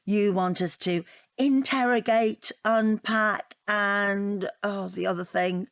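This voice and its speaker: A woman's voice: medium pitch, fairly even intonation, takes it slowly, no room sound, studio quality. An actress playing a rambling, earnest, self-deprecating divorced woman in a naturalistic audio drama. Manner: rambling, earnest, easily flustered. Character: chatty, self-deprecating divorced woman taking an art appreciation class.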